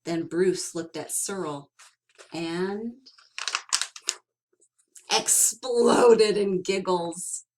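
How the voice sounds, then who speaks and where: A woman reading a children's picture book aloud as an expressive storyteller, with dramatic emphasis and drawn-out words. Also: on the low side, low-quality recording.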